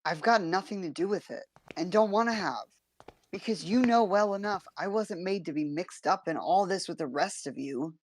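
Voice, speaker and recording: A woman's voice: fairly low pitch, normal speed, dry recording, lightly acted. A woman playing an aloof, resentful young adult son in a stage play, with a formal, disdainful manner. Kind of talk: theatrical stage-play reading.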